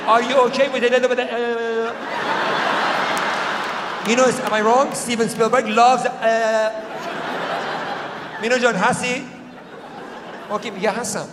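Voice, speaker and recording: A man doing stand-up comedy in a theater, teasing audience members and bursting into shouts. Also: extremely high pitch, steady tone, a little slow, long pauses, heavy background noise. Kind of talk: stand-up crowd work. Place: large theater with live audience. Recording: echoey theater hall, loud crowd.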